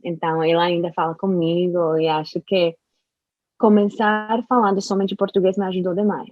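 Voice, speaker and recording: A young woman, a native Spanish speaker, talking in an interview about learning Portuguese, with careful, halting speech and restarts. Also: lowish voice, level tone, no echo, narrow, tinny audio. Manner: slow, careful speech with frequent restarts and laughs. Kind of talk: casual conversational interview.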